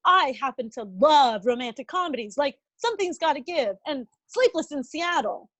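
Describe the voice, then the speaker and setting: Woman playing an earnest, high-voiced nerdy scientist. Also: big pitch swings, moderate pace, a bit bright. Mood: defensive. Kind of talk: comedy script table read.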